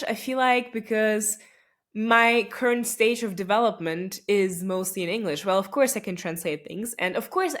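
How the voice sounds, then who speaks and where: A young woman, a native Russian speaker, hosts her podcast alone in a relaxed, reflective way. She rambles in an easy, conversational manner, restarting her sentences and laughing lightly as she goes. Her pitch sits at an ordinary middle height. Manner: chatty, many fillers and restarts, laughs often. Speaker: podcast host. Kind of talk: casual solo podcast reflection.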